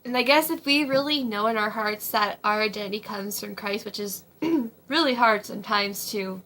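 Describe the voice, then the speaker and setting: A young woman in her twenties, slightly hoarse, giving casual advice from her home kitchen in a rambling way, with many ums and likes. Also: medium pitch, normal speed, bright, forceful tone, a little hiss or hum. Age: 20s. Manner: rambling, full of ums and likes, frequent laughs. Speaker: vlogger. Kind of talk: casual faith-based advice vlog.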